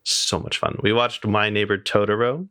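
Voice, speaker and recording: A man's voice: fairly low pitch, fairly even intonation, moderate pace, sharp tone, smooth, dry recording, clean studio sound. A young man, a student, co-hosting a podcast in easygoing casual chat. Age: young adult. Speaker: podcast co-host.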